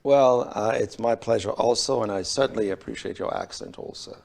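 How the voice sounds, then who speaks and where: Gravelly-voiced man with a foreign accent. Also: on the low side, a little mellow. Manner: measured, formal, frequent "uh" pauses. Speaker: urologist guest. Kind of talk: calm medical explanation.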